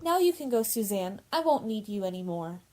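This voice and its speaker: A woman's voice: medium pitch, big pitch swings, brisk, a little mellow, slight background noise, natural voice. A woman acting a sweet, vibrant young woman in love in a theatrical radio play. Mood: sweet, contented.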